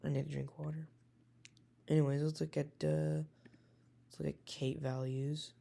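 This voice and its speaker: A man with a raspy voice, a weather enthusiast casually talking through a forecast with many hesitations and self-corrections. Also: fairly high pitch, little pitch movement, measured pace, warm tone.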